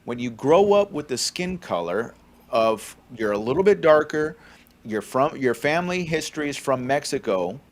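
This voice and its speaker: A man's voice: mid-range voice, expressive, normal speed. A man in his forties from California, co-hosting a faith podcast, talking conversationally with many fillers and a frequent "okay?". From California, USA. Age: 40s. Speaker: podcast co-host. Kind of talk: faith-centered podcast commentary.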